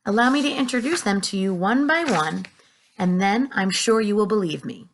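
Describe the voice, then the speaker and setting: A woman's voice: mid-range voice, moderate pace, a little hiss or hum, voice pushed up, natural voice. A woman voicing a cheerful, friendly small boy reciting rhymes in a storybook. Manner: eager, sing-song rhyming.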